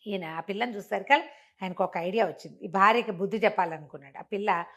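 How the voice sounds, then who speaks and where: A woman's voice: medium pitch, quick pace. An older woman telling a moral folk tale in an interview, acting out the characters' lines as she goes. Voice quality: animated, acts out the characters' lines within the telling. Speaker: storyteller.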